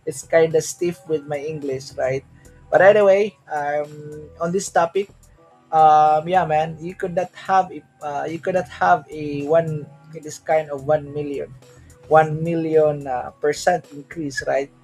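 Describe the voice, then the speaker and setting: Filipino male host, casual rambling livestream talk, slightly high voice, faint background music. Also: steady tone, measured pace, darkish tone, poor audio. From Philippines. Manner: rambling, fillers, tag questions. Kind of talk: casual livestream crypto chat.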